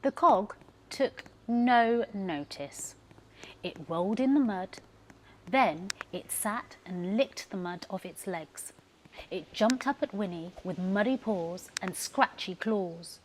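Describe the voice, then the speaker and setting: A woman's voice: mid-range voice, animated, takes it slowly, slight background noise, poor audio. A woman professional storyteller narrating a children's story expressively, leaning into the sound words. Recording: music behind the voice. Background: music.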